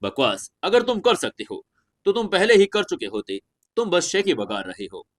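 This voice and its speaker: Young male hero, performed by a male narrator: cocky, mocking, animated higher voice, audio fantasy serial. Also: normal speed. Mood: sarcastic goading. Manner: mocking, sarcastic, brash.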